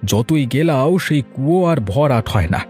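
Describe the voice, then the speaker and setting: A man's voice: medium pitch, animated, a little slow, darkish tone, lightly acted. A man playing a sarcastic, grumbling poor farmer and long-suffering husband in a cartoon. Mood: scornful. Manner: sarcastic, complaining. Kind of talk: Bengali cartoon dialogue. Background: background music.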